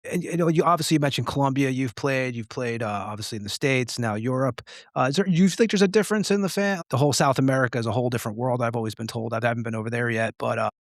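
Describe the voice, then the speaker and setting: A man's voice: mid-range voice, quick pace, no room sound, clean studio sound. A man hosting a casual music interview, asking informal, rambling questions. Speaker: podcast host interviewing a band.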